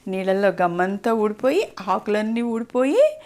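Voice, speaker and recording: Lady telling a kid a bedtime-style story, normal pitch, explains stuff and asks little questions. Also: very animated, moderate pace, darkish tone. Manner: simple, explanatory, often asks the child questions. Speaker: storyteller to a child. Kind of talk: interactive children's storytelling.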